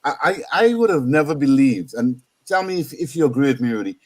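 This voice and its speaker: A man's voice: medium pitch, animated, brisk. A man in his fifties from Trinidad with a Trinidadian accent, telling stories on a radio talk show and often repeating words as he starts a sentence.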